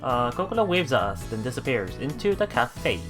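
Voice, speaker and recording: Very low-voiced, lively woman streamer. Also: a little fast, music in the background. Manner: playful, expressive. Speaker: let's play streamer. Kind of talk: reading game text aloud with casual commentary.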